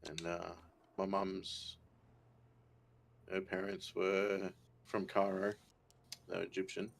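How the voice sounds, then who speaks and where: A man's voice: deep voice, level tone, slow pace, creaky, a little hiss or hum. A middle-aged man with an Australian accent, chatting casually and laconically as a co-player on a gaming livestream. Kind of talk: casual chat while gaming.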